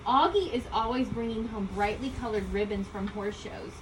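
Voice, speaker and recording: High-voiced woman reading a children's storybook. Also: normal speed. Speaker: storybook reader. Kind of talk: children's storybook read-aloud. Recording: distant mic, loud background ambient noise.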